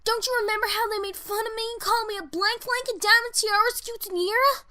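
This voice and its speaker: A woman voicing an excitable, indignant young filly pony in a cartoon-style audio story. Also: very high-pitched, fast talker.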